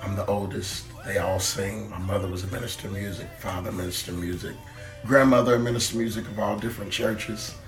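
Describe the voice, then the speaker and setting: Kentucky guy, singer, telling stories in an interview, lots of uhs, kinda low voice. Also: fast, soft background music. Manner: relaxed storyteller with many fillers. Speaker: guest singer. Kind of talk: interview storytelling.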